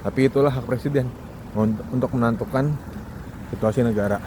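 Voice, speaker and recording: A man's voice: mid-range voice, fairly quick. Young Indonesian man vlogging over street noise. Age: young adult. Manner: informal, conversational, addresses the audience with questions. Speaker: vlogger, foreign student. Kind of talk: casual monologue commentary to viewers.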